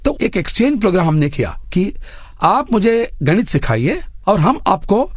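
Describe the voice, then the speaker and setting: A man's voice: high voice, sing-song, normal speed, no room sound, clean studio sound. A man speaking as an interview guest, earnestly laying out his points and advice one by one. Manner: earnest, makes enumerated points. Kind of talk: radio interview, advocacy and advice.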